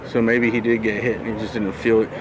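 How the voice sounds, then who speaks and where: A man's voice: fairly low pitch, flat delivery, quick pace, background noise. A man chatting casually as a live streamer, slangy and prone to swearing, with bro-talk.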